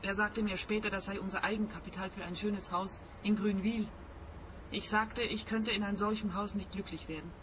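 A woman's voice: mid-range voice, steady tone, a little fast, lightly acted. Woman playing an earnest, wounded young sweetheart. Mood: sad, earnest. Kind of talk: radio crime comedy acting. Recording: clear background noise and ambience.

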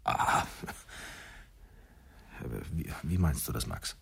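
A man's voice: very deep voice, big pitch swings, drawn-out words, creaky, a bit bright, slightly rough, full character voice. An actor playing a troubled, skeptical expectant father in a German radio drama, speaking in a weighty, hesitant manner.

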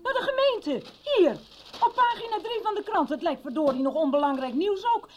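Agitated, very high-voiced woman. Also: animated, a little fast, darkish tone, a little hiss or hum. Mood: outraged, alarmed. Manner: exclamatory, blunt. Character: agitated, outraged woman fearing loss of her home. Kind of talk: 1990s radio drama acting.

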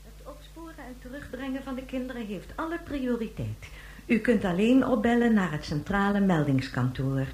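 An actress playing a brisk, formal news announcer reading emergency bulletins in a science fiction radio play. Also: female voice, fairly high pitch, expressive, a little mellow, close to an everyday voice. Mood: urgent, official. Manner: clear official announcing. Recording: roomy, echoing sound.

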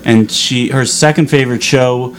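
A man's voice: medium pitch, steady tone, moderate pace, a little hiss or hum. A man in a casual radio chat, talking in an easygoing way and rambling through little stories.

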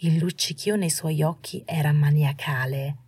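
A woman's voice: deep voice, fairly even intonation, normal speed, a little mellow, breathy edge, no echo. A woman reading a scripted story as an audiobook narrator.

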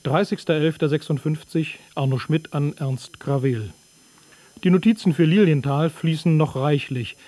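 A man reading letters aloud in a radio production, plain and steady. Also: fairly high pitch, moderate pace, dry recording. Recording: dry studio.

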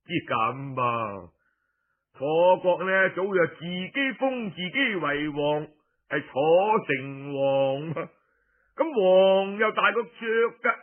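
A man tells historical tales as a traditional oral storyteller, colloquial and theatrical, drawing out his phrase endings with pauses. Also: on the high side, animated, narrow, tinny audio.

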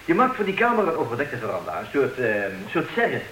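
Fussy bachelor, echoey, very noisy recording. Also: male voice, medium pitch, huge pitch swings, a little fast. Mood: persuasive. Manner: insistent, argumentative, explaining. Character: fussy, self-assured well-off bachelor with renovation schemes. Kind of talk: comic radio play acting. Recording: very noisy, echoey, distant old radio recording.

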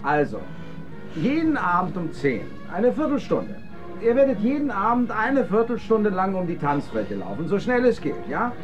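A man's voice: high voice, sing-song, normal speed, highs cut off, music in the background. An actor playing a brash, cajoling male master of ceremonies in a radio drama, pushy and patronising. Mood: cajoling, bossy.

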